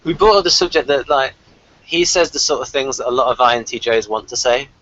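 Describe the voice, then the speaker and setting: A man's voice: mid-range voice, a little fast, a bit bright. A man hosting a casual review discussion on a video call, rambling and thinking aloud as he goes. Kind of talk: casual unscripted chat. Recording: dull lo-fi video call audio.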